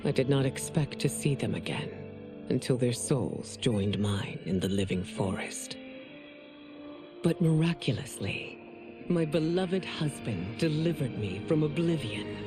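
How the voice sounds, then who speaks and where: Casting: male actor for grave ghostly monarch narrating a game, slow and formal, under loud music. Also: medium pitch, expressive, lightly acted. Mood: grave, resolute. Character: solemn dead ruler's soul narrating from limbo. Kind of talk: scripted dramatic game narration.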